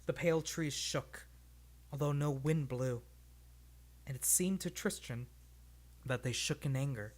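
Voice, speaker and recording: A read-along streamer with a medium-pitched voice. The delivery is expressive storybook narration, informal and unpolished, as on a live stream. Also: a little slow, long pauses.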